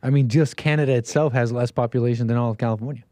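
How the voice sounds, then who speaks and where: A man's voice: medium pitch, steady tone, rapid-fire, mellow, dry recording. A man hosting a call-in show, talking casually and animatedly, often laughing. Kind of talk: casual live-stream talk.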